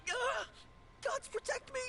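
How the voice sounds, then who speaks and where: A man's voice: very high-pitched, measured pace, vocal fry, noisy background, full character voice. A man playing a timid, panicky young novice undertaker in a video game, pleading with stiff politeness. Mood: terrified. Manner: stammering, pleading, formal politeness.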